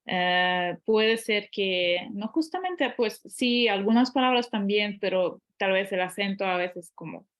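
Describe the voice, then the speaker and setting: A woman's voice: mid-range voice, animated, a little slow, few pauses. A woman talking casually in a second language, hesitating often with fillers and restarts. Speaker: guest polyglot. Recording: slightly dull lo-fi audio.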